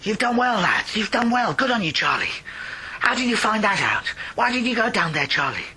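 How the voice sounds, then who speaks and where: A man voicing rescuing miners who call out loudly, rallying each other and the boy. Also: sits high, sing-song, normal speed, husky, pitched above the performer's own voice, heavily acted, rough recording. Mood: relieved. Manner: loud, rallying, calls everyone lad. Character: gruff, urgent group of rescuing miners.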